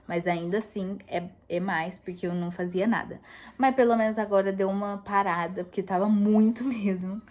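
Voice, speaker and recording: A woman's voice: mid-range voice, fairly even intonation, moderate pace, a little mellow. Chatty woman rambling in a casual vlog. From United States. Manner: chatty, rambling, laughs often. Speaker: vlogger. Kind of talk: casual chat.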